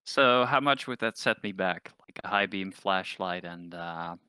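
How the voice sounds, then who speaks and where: A man's voice: low voice, measured pace, pushed and bright, clean tone, no echo. A man playing a tabletop game, chatting casually and asking questions in a tentative way.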